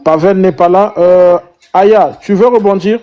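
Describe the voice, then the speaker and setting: A man's voice: high voice, measured pace, dull audio. A man moderating an online panel debate, directing speakers and handing out the floor. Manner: directive, passes the floor, mixes in Lingala words.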